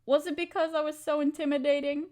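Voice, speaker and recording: A woman's voice: high-pitched, level tone, quick pace, a little mellow, lightly acted. A woman playing an affectionate, playful young wife in an intimate roleplay audio, talking tenderly and teasingly to her partner. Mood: fond, teasing.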